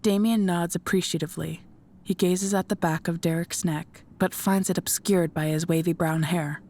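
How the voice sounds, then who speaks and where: A woman's voice: on the low side, fairly even intonation, moderate pace, mellow. A woman reading scripted narration for an audio drama as its narrator.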